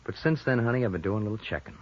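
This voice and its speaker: Menacing, jealous heavy, 1950s radio drama. Deep, blunt, threatening delivery on dull old audio. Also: male voice, lively intonation, racing. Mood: bitter, jealous. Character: big, jealous, menacing tough-guy boyfriend.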